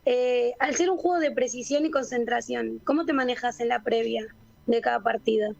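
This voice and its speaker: A woman co-hosting a radio interview, asking polite, measured questions. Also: fairly high pitch, creaky, darkish tone, dull audio. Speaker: radio co-host.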